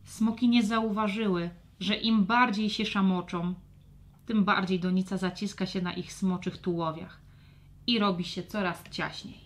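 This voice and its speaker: A woman narrating a scripted children's story in a dramatic, expressive manner. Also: mid-range voice, a little slow.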